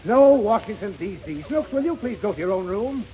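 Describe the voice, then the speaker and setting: A man's voice: high voice, animated, a little fast, very noisy. An actor playing an impatient, harried father who scolds his child, in 1930s radio comedy acting. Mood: exasperated. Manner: exasperated, scolding. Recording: muffled 1930s radio recording.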